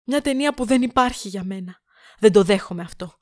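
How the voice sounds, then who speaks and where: A woman's voice: mid-range voice, darkish tone, natural voice. A woman playing an upset, melodramatic young female film fan in an audio drama, indignant and theatrical. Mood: mournful, dramatic.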